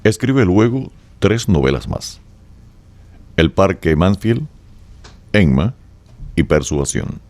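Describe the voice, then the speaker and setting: A man's voice: low-pitched, sing-song, takes it slowly, long pauses, darkish tone. A man narrating a radio drama from a script, in a formal storytelling style.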